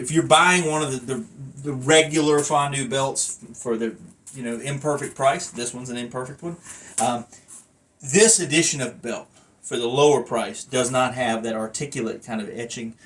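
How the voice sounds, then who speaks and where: A man's voice: medium pitch, normal speed, fairly bright tone, a little hiss or hum. A man giving a casual, unscripted collector's review, thinking aloud and wandering off into asides. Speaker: collector reviewing a wrestling belt.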